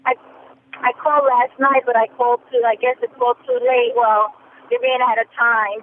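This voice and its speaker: A woman calling into a radio show, asking her question hesitantly and searching for words. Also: a little high. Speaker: caller. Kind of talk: phone call to radio show. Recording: phone-line call with faint noise.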